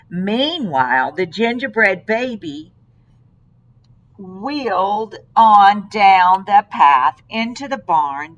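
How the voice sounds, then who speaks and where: A woman reads a children's storybook aloud at a slow pace, with a mid-range voice. She phrases things deliberately and dramatically, giving the telling an expressive shape. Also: mid-range voice, poor audio. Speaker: storybook reader.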